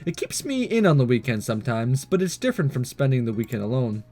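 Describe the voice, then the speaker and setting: Supportive teen student for a visual novel, voiced by a man: gentle, honest, lively intonation, music underneath. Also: medium pitch, fast, pitched below the performer's own voice. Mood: advising. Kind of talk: visual novel dialogue read aloud.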